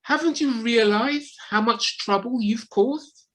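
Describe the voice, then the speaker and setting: A woman acting and narrating in a children's audio play, expressive and deliberate. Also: on the high side, lightly acted.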